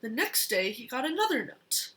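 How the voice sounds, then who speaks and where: A young child reading a picture book aloud in a deliberate, expressive way. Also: medium pitch. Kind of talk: storybook read-aloud.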